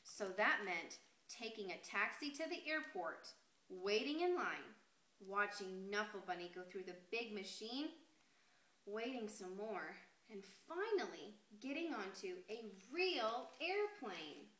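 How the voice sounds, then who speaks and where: A woman teacher reading a picture book aloud to young children in an expressive, deliberate storytelling way, pausing to address the class. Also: medium pitch. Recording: echoey room with noticeable background noise.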